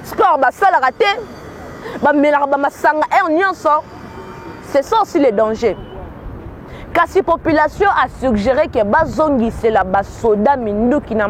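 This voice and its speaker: A woman's voice: high-pitched, slight background noise. A woman from Kinshasa with a Congolese accent giving earnest spoken commentary, often repeating words as she searches for them. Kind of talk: spoken commentary mixing Lingala and French.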